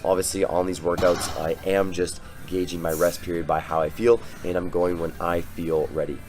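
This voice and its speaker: A young man with a very low voice, hoarse from a cold, talks over his workout footage. He rambles in a loose, easygoing way, stopping often to restart a thought, while faint music plays underneath. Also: moderate pace. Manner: relaxed, rambling, many fillers and restarts. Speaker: fitness vlogger.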